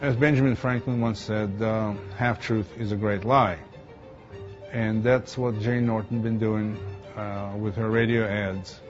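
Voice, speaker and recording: A man's voice: lowish voice, steady tone. Earnest middle-aged man, Ukrainian accent. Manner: earnest, halting with fillers. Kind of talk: testimonial interview. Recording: dull lo-fi audio with music behind.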